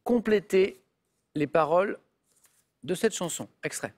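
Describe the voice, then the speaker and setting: Quiz host, male, upbeat and chatty, pitched a little high, punchy clue reading. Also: expressive, unhurried. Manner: upbeat, chatty, reads clues with emphasis. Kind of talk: lively game show hosting. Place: television studio.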